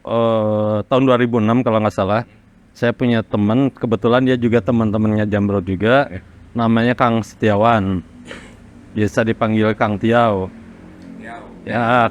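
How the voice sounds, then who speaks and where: A man from Indonesia, a rock singer, casually recalling his career in an interview in a halting, reflective way. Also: mid-range voice, fairly even intonation. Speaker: rock vocalist being interviewed. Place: studio workroom.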